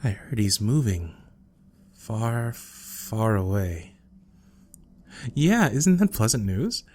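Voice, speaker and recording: A man playing an obsessive, lovesick male student in an ASMR roleplay drama, hesitant and stammering, shy yet menacing, with a soft breathy voice. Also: lowish voice. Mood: shy, smug. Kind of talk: ASMR roleplay audio drama, intimate acting.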